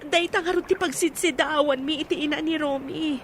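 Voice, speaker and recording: A woman's voice: sits high, fairly even intonation, fairly quick, natural voice. A woman playing an earnest young Ilocano woman. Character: caring young village woman searching for news. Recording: clear crowd noise behind.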